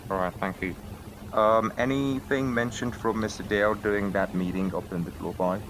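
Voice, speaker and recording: A man playing a lawyer in a roleplay courtroom, questioning a witness in a formal, measured way. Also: on the low side, steady tone, background noise.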